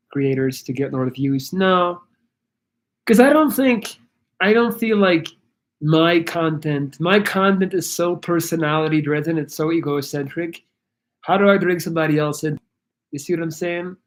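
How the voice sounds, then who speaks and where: A man from Belgium chatting casually on a livestream, answering viewer questions in a rambling, halting way with many restarts. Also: on the high side. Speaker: YouTuber answering live chat.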